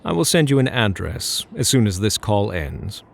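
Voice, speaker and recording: Casting: male actor for playful, smug gentleman thief, ASMR roleplay; gravelly, very animated delivery, teasing, flirty, mock-courteous. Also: on the low side, moderate pace, heavily acted. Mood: taunting, mock-polite. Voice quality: husky, gravelly. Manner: teasing, mock-polite, theatrical.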